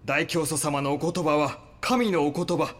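Higher-voiced, zealous cult lieutenant. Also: male voice, unhurried, a bit bright. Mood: reverent. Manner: formal, deferential, reporting. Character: devoted male cult lieutenant, obedient and zealous. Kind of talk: Japanese audio drama acting.

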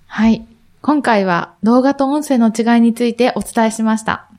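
A woman's voice: fairly high pitch, normal speed, a little mellow. A woman co-hosting a podcast, politely asking questions and following along in an attentive, agreeable way. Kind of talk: polite interviewing with frequent short backchannels.

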